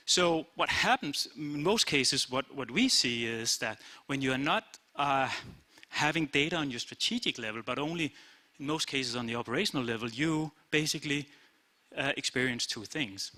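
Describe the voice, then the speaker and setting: Danish-accented male CEO, middle-aged, crisp bright voice, plain conference delivery. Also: medium pitch. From Denmark. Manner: plain-spoken, steady, with frequent "uh" and "basically". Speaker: company CEO giving an awards talk. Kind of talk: short conference speech. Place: event room.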